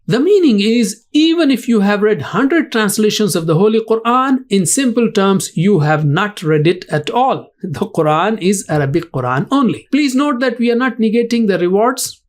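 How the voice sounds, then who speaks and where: Lesson narrator, mid pitch, slow, emphatic, explains word by word. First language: Urdu. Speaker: religious language teacher.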